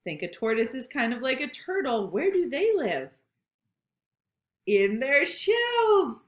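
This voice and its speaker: A woman reads a picture book aloud to children, slow and expressive, often stopping to ask questions. Her pitch rises and falls widely. The voice carries a roomy echo. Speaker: read-aloud storyteller.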